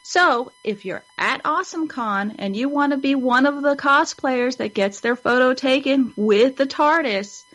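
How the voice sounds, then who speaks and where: A woman's voice: high voice, normal speed, few pauses, a bit bright, rough recording. A woman co-hosting a fan web show in casual chat, with a rambling, informal, upbeat manner.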